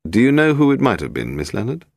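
A British man voicing a polite, inquisitive gentleman detective in an audiobook. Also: mid-range voice, huge pitch swings, fast talker, creaky, no echo, clean studio sound, pitched above the performer's own voice, full character voice. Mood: probing. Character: courteous, probing gentleman detective-novelist.

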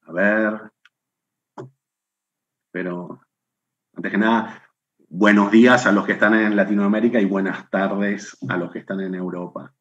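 A man's voice: fairly low pitch, fairly even intonation, unhurried, pauses often, fairly bright tone, dull audio. A middle-aged man from Argentina with an Argentine accent, hosting a live webinar from a room at home over a webcam and chatting as he greets viewers by name. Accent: Argentine Spanish. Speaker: webinar host. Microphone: webcam on video call.

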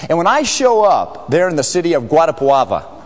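A middle-aged American man, a missionary, telling stories to a church congregation in an animated, joking way. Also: fairly high pitch, brisk. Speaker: missionary speaker. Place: large church sanctuary. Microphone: far camera. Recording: reverberant sanctuary.

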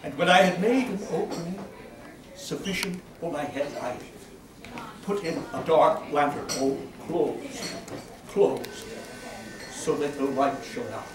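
A man in his sixties acting a mad, cunning, gleeful murderer in a live gothic horror monologue, theatrical, with deliberate pauses and sudden outbursts. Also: fairly high pitch, distant mic, crowd noise, lightly acted. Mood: sly, boastful. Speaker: stage actor. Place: small brick-walled cafe with seated audience. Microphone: distant camera microphone. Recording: roomy, noisy recording from across the room.

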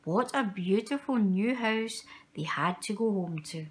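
Woman reading a children's story, very lively pitch. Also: unhurried. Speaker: storyteller reading a children's picture book aloud. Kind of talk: expressive read-aloud children's story narration.

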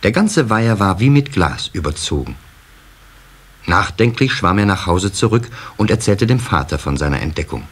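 A man narrating a children's radio play as an expressive storyteller. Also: low voice, moderate pace, husky.

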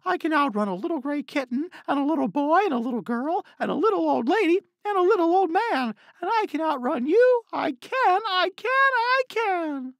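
A man voicing a little gingerbread man from a storybook, boastful and taunting in a sing-song shout. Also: extremely high pitch, lively intonation, normal speed, creaky, hardly any pauses, dry recording, studio quality, pitched above the performer's own voice, heavily acted.

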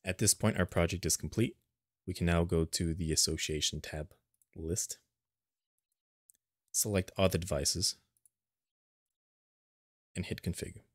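A man narrating an unscripted step-by-step software tutorial in a plain, instructional manner, recorded as a screen voice-over. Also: deep voice, fairly even intonation, unhurried, pauses often, no echo, studio quality.

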